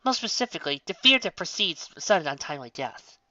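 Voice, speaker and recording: A woman's voice: lowish voice, sing-song, quick pace, pushed and bright, dry recording, dull audio. A woman reading a fan story aloud with dramatic narration. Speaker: story reader.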